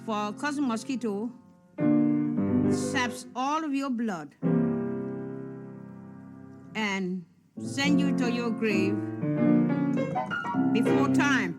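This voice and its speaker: Very slow, high-pitched woman singing over piano. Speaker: amateur singer. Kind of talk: slow sung song with piano accompaniment.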